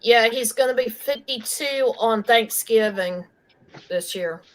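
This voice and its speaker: A woman with a fairly high voice chats casually and teases the host about his age. Her talk is relaxed and chatty, with a light, playful edge. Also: takes it slowly, rough recording. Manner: teasing, chatty. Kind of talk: casual chat.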